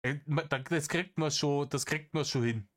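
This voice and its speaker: A man's voice: on the high side, normal speed, fairly bright tone. A man giving satirical reaction commentary in an exasperated, sarcastic manner, swearing freely.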